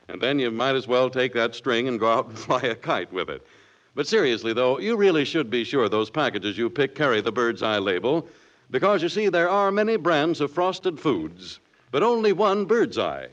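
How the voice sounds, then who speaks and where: Animated male radio pitchman, muffled vintage sound. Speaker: commercial announcer. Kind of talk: 1940s radio ad read, folksy sales pitch. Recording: muffled old radio recording.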